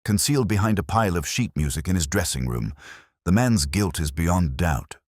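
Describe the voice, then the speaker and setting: Casting: male narrator as a grim storybook police inspector, very low, blunt, insistent, with lively emphasis. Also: normal speed, no room sound, studio quality.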